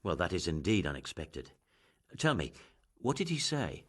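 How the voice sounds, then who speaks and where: Shrewd learned game official, low voice, really animated, musing but in charge. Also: male voice, exaggerated voice. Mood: pondering. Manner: deliberate, musing, authoritative. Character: learned, shrewd male official leading an investigation. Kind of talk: video game voice acting.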